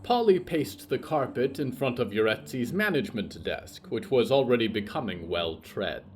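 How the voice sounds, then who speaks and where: A man narrating scripted horror fiction as host, in a theatrical, deliberate style. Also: medium pitch, animated.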